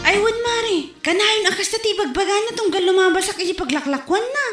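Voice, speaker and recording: A woman's voice: very high-pitched, animated, a little fast, loud music behind the voice. A woman playing a chatty, complaining village friend in a comedy drama. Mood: gossipy. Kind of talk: Ilocano comedy drama acting. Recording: echoey room.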